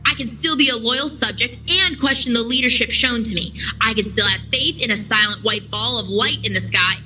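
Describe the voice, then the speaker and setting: Woman playing a piercingly bright, defiant female soldier. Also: on the high side, a little fast, soft background music, poor audio. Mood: impassioned. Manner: forceful, argumentative. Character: defiant, headstrong female soldier confronting her commander. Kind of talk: audio drama acting.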